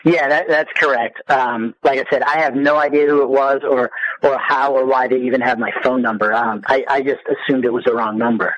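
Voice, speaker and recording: A man in a casual phone conversation, speaking in a hesitant, halting way. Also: mid-range voice, fairly even intonation, a bit bright, no room sound. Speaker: phone call recipient. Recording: dull phone line.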